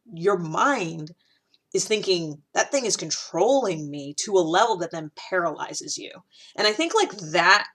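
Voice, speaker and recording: A woman hosting a casual talk, chatty and thinking aloud with many fillers, restarts and light laughs. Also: lowish voice. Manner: laughs often between thoughts. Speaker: host.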